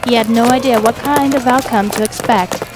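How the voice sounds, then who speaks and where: A woman's voice: on the high side, fairly even intonation, normal speed, background noise. A woman reading scripted narration for a dramatic fan fiction audio story. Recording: music behind the voice. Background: music.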